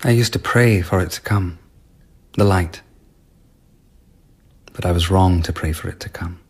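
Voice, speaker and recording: An eccentric court mystic in a radio play. The voice is lowish and husky, with very slow, weighty phrasing. He declaims with solemn, earnest conviction. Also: exaggerated voice. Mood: reverent, earnest. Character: eccentric Japanese court performer turned earnest spiritual mystic. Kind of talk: radio drama acting.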